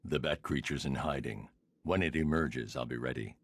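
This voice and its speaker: An actor playing a grim, driven vigilante detective in a video game, gravelly, clipped and grave. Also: male voice, very deep voice, normal speed, no room sound. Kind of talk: dramatic video game acting. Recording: voice under clear background music.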